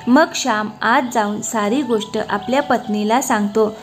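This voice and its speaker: Woman storyteller reading a kids moral tale, fairly high voice, music behind. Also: normal speed. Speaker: narrator. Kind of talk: scripted moral-story narration.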